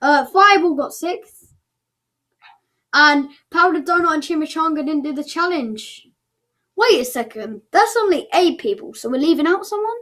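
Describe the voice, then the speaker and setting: A woman's voice: high voice, expressive, normal speed, bright, forceful tone. A woman casually announcing results as the host of an online show, chatty and thinking aloud as she goes.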